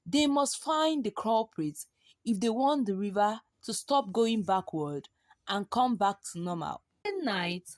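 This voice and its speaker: Woman, unhurried pace, dry close sound, expressive dramatic storytelling, stretched words for emphasis. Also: medium pitch, no echo. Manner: expressive, with gasps and drawn-out repeated words for effect.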